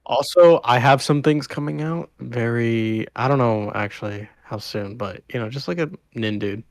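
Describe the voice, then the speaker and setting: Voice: mid-range voice, moderate pace, no room sound. A young adult podcast co-host talking casually with friends. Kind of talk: casual group chat.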